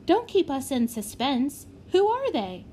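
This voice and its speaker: A woman's voice: sits high, very animated, measured pace, mellow, slight background noise, pitched above the performer's own voice. A woman voicing a bossy, impatient young girl in a children's storybook, with a snappy, commanding manner.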